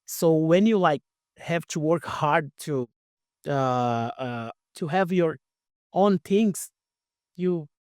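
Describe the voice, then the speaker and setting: A middle-aged man from Brazil with a Brazilian accent, a native Portuguese speaker, telling his story casually as an interview guest in halting English with repeated words. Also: on the high side, darkish tone, dry recording, studio quality. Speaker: podcast guest, radio station owner.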